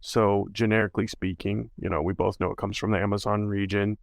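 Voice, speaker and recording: Gravelly-voiced male podcast host. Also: on the low side, flat delivery, brisk, no room sound, clean studio sound. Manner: rambling, full of "you know" and "like". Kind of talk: casual interview chat.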